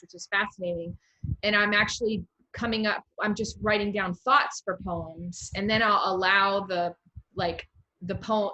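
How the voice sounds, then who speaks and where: An American woman with a bright, crisp-edged tone hosts a casual literary chat. She is encouraging and works through her thoughts aloud as she talks. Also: mid-range voice, poor audio. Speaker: interviewer, host, poet.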